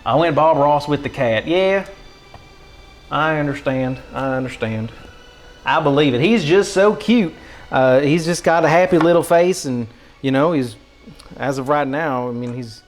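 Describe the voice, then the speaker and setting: A man putting on an American Southern country accent as a goofy, excitable countryman, rambling casually to a livestream chat in a folksy drawl. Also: a little high, lightly acted. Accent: put-on American country, Southern. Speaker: live streamer cooking for chat.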